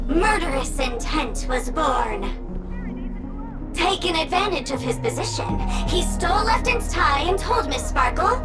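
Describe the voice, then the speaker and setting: Flamboyant game sheriff woman, very high voice, mockingly gushing, melodramatic, loud music behind. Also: distant mic, heavily acted, rough recording. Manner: exaggerated, melodramatic delivery with sudden exclamations. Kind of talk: video game voice acting.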